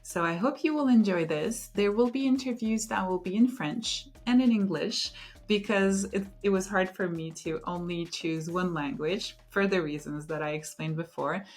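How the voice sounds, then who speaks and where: Earnest woman hosting a reflective podcast. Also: on the low side. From Luxembourg. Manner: earnest, unhurried, with thoughtful pauses. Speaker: podcast host. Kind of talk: reflective solo podcast intro.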